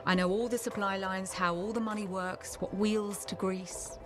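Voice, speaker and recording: An actress playing a tough, streetwise bar owner in a video game, brusque and wry with a no-nonsense manner. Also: female voice, mid-range voice, fairly even intonation, normal speed, over music, close to an everyday voice. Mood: relaxed, reflective. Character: tough, streetwise female frontier bar owner, secretly soft. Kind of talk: video game dialogue acting.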